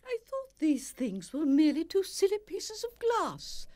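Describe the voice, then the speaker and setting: A woman's voice: very high voice, wildly swooping pitch, measured pace, darkish tone, soft background music, full character voice. An actress playing a shrewd, fussy older lady guide in a cartoon, haughty, wheedling and formal. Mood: sly, bargaining. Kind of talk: cartoon acting.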